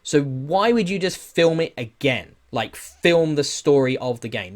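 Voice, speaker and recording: A man in a casual podcast discussion, giving opinions in an animated, sweary way with rhetorical questions. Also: mid-range voice. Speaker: podcast host.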